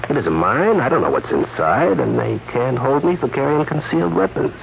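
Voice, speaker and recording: A man's voice: mid-range voice, sing-song, normal speed, vocal fry. An actor playing a sly, smug holdup man with coy hesitations and chuckles in a 1940s radio crime drama. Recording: muffled, hissy 1940s radio recording.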